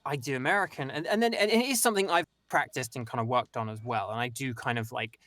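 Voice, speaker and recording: A man's voice: medium pitch, fast, a bit bright, no room sound. Young Englishman, dry close sound. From England. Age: young adult. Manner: rambling, self-interrupting. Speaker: voice actor guest. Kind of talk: casual interview chat.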